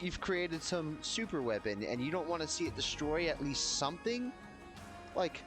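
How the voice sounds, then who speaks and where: Animated man commenting on a game stream. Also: on the high side. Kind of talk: casual live-stream commentary. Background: game music.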